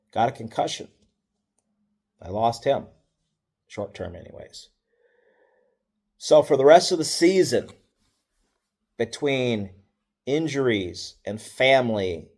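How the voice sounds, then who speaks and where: A middle-aged man, a football coach, telling stories from past seasons in a relaxed, wry, matter-of-fact way, with fillers and self-corrections. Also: on the low side. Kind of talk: casual storytelling.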